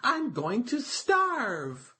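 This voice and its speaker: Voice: mid-range voice, huge pitch swings, very slow, pushed and bright, husky, pitched above the performer's own voice, exaggerated voice. A storyteller voicing a fretful little male turtle in a children's storybook, wailing and pleading in an exaggerated way. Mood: anxious wailing.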